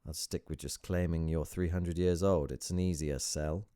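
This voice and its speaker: A man's voice: low voice, fairly even intonation, moderate pace, dark tone, clear, resonant tone, dry recording, studio quality, natural voice. A man playing a sceptical young male investigator in a story, with a wry, questioning manner. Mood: sceptical probing.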